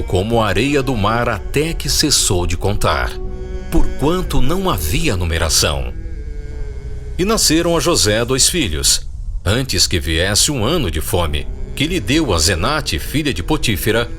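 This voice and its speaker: Deep-ish guy narrating scripture from a script, lively intonation, music under him.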